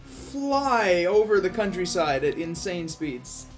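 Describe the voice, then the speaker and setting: A man's voice: high voice, big pitch swings, slow pace, soft background music. A man from Quebec co-commentating on a video game in casual, teasing, sarcastic chat. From Quebec, Canada. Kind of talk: casual joking chat over gameplay.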